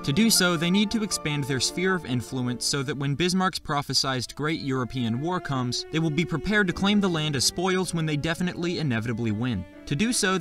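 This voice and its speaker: A man narrating a scripted history video with sarcastic, jokey asides. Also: a little high. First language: not German. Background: faint background music.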